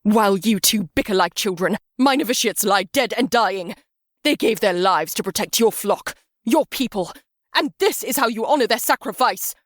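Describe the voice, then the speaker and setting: A woman's voice: medium pitch, lively intonation, moderate pace, no room sound, clean studio sound, pitched above the performer's own voice, natural voice. A woman voicing a fierce battle-sister commander, shaking with barely contained fury, in a sci-fi audiobook. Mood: grieving rage.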